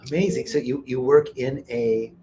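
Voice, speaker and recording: A man hosting a casual interview at a retreat center, upbeat and joking and quick to laugh. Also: mid-range voice, fairly even intonation, dark tone, slight background noise. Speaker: host. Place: retreat center in Costa Rica.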